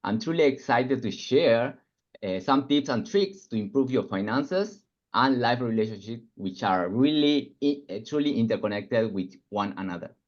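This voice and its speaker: A man with an accent that blends Spanish and Japanese talks through a webinar in an earnest, measured way. His voice sits at an ordinary pitch for a man. The video call audio sounds a little muffled. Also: lively intonation. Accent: mixed Spanish and Japanese. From Argentina and Japan. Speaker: financial coach, webinar speaker. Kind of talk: webinar self-introduction and commentary.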